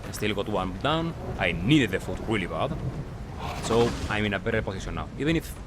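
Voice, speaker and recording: A man giving casual gameplay commentary as a recap, talking in short clipped phrases with pauses. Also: fairly low pitch, sing-song, background noise. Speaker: gaming video creator.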